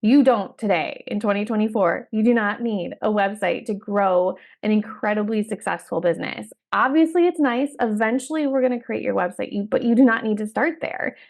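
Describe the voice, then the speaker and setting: A woman in her thirties hosting a solo podcast, talking in a direct, upbeat coaching manner, her voice slightly hoarse from a cold. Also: medium pitch, brisk, few pauses. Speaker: podcast host and career coach trainer.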